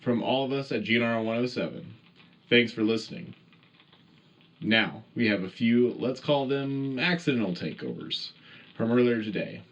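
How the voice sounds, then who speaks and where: A man's voice: mid-range voice, moderate pace, dull audio, natural voice. A man playing a patriotic wartime radio news anchor, hosting a scripted military broadcast with formal announcer delivery. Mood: friendly, promotional. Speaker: radio show host.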